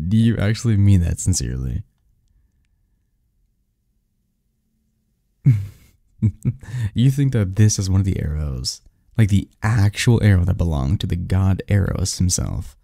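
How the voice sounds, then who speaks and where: A man's voice: on the low side, vocal fry, warm tone, dry recording, clean studio sound, full character voice. A man playing a snarky, cynical young research assistant in an audio drama, talking conversationally with a scoffing, pedantic manner and small stumbles. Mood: amused disbelief.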